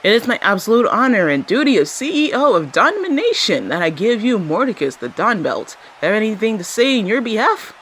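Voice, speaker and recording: A woman plays a wry league boss in a cartoon. The voice is medium in pitch with big, lively swings, formal and ceremonious. There is faint noise behind it. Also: fairly quick, few pauses. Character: sardonic, ceremonious chief executive of wrestling league. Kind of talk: scripted cartoon acting.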